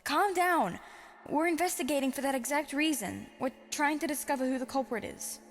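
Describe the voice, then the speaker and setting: A woman's voice: high-pitched, normal speed, in a large hall, pitched above the performer's own voice. A woman voicing an earnest, concerned male mouse in a cartoon horror story reading. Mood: calming, firm. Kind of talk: acted character dialogue in fanfic reading. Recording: music under the voice.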